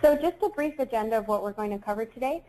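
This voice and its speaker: A young woman in her twenties presenting an online webinar, friendly and quick, with frequent ums. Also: fairly high pitch, expressive, dark tone, rough recording. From Saudi Arabia. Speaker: webinar host.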